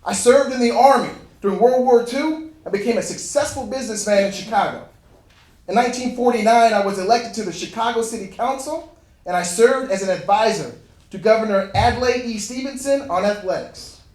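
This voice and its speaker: Man playing a high-voiced, proud champion athlete. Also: fairly quick, darkish tone, close to an everyday voice. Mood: proud, formal. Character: proud male Olympic medalist turned public servant. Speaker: presenter in a dramatic reading. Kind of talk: first-person scripted historical monologue. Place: indoor room at a live event. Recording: roomy, echoey live hall recording.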